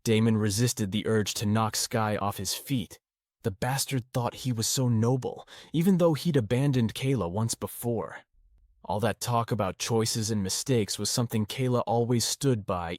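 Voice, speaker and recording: Casting: male fantasy audiobook narrator, fairly low voice, scripted storytelling, very dry sound. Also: moderate pace, a little mellow, no echo.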